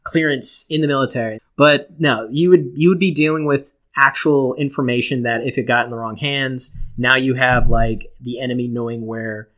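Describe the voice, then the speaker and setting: A man's voice: mid-range voice, steady tone, normal speed, hardly any pauses, a bit bright. A man chatting casually as a podcast co-host, telling stories in a profane, self-mocking way. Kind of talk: casual chat, storytelling.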